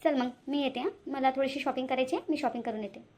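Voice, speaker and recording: A woman's voice: high-pitched, fairly even intonation, fast, noisy background, natural voice. A woman voicing a scheming, wheedling young cartoon character who repeats herself, speaking Marathi with a Varhadi accent from Vidarbha. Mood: sweetly persuasive. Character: scheming young woman sweet-talking for money. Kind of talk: amateur cartoon voice-over.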